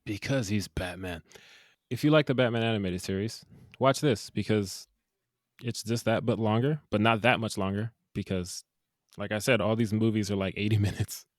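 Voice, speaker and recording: A man co-hosting a casual movie review podcast, animated and jokey with quick asides. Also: fairly low pitch, dry recording, clean studio sound. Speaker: podcast co-host.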